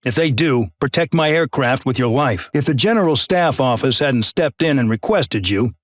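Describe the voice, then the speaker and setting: A man's voice: medium pitch, animated, normal speed, dry recording, clean studio sound, lightly acted. A man voicing an arrogant, bullying male air base colonel in a game, barking brusque, contemptuous orders. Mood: stern. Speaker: military commander. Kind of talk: game voice acting.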